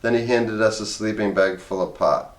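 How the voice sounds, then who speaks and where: A man's voice: fairly low pitch, fairly even intonation, normal speed. A man reading a comic memoir aloud in a wry, conversational audiobook style. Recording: roomy, echoey voice recording.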